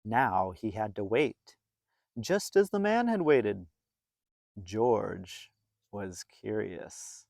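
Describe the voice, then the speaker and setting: Animated, slow-paced man reading a children's storybook. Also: fairly low pitch, vocal fry, no room sound, studio quality. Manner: expressive, deliberate, drawn-out phrasing for young listeners. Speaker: storybook reader.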